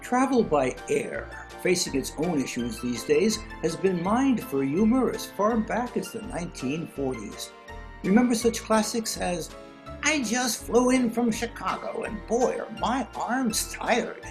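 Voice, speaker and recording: A man's voice: high voice, very animated, unhurried, soft background music. A man serving as master of ceremonies, giving genial, announcer-like scripted introductions over a video call.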